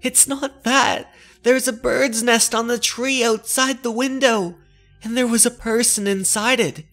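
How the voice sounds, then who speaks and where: A frightened teenage girl performed by a man for a horror manga dub. The voice sits high above the performer's own and sounds breathy, anxious and pleading. Faint music plays behind. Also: fairly even intonation, measured pace, fairly bright tone, exaggerated voice. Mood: scared, pleading.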